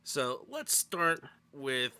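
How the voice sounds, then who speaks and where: A man's voice: mid-range voice, very animated, extremely slow, bright, forceful tone, clean tone. A man hosting a casual game chat show, with playful, exasperated banter. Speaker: podcast host.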